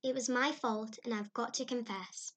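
A woman's voice: fairly high pitch, steady tone, moderate pace, close to an everyday voice. A woman reading aloud, voicing an imaginative, dramatic orphan girl who gushes breathlessly. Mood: earnest. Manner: breathless, gushing, heightened.

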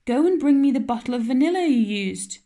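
A woman's voice: a little high, lively intonation, normal speed, darkish tone. A woman voicing a stern, practical older woman who speaks curtly and scolds sharply. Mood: scolding.

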